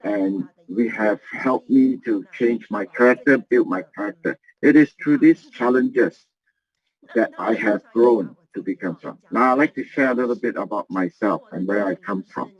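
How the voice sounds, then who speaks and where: A man giving a motivational business talk, earnest and halting, often repeating and restarting his phrases. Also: lowish voice. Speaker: business presenter. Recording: muffled lo-fi recording.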